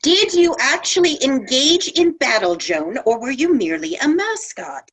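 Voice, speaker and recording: A woman's voice: high voice, very animated, moderate pace, fairly bright tone, exaggerated voice, low-quality recording. A woman playing a hostile medieval accuser in a dramatic script read, mocking and accusatory. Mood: accusing, mocking.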